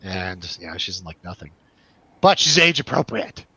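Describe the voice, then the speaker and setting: A man's voice: low voice, big pitch swings, moderate pace, sharp tone, breathy edge, dry recording. A man hosting a casual, jokey film review discussion, with a teasing manner. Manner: joking, teasing. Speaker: host of a film review show. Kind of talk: casual jokey panel chat.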